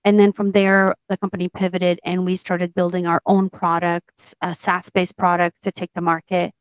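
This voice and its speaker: A woman in her forties, a tech founder from Portugal, telling her story in an interview in a steady, matter-of-fact way. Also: lowish voice, normal speed, clear, resonant tone, dry recording, poor audio. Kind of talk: interview conversation.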